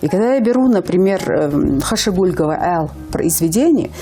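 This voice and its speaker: A woman's voice: on the low side, lively intonation, moderate pace, dark tone, faint music behind. A woman in her fifties from Ingushetia, a language teacher speaking earnestly as a guest on a TV morning show, with hesitant fillers. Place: TV studio.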